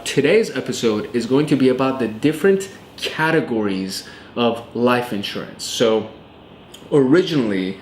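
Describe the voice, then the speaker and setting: Guy in his late 20s chatting thru a money show to camera, lots of um and like, kinda echoey room w faint hum. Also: medium pitch. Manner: chatty, talks straight to camera with fillers. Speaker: host. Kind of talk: casual educational vlog talk. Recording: roomy echo with faint ambience.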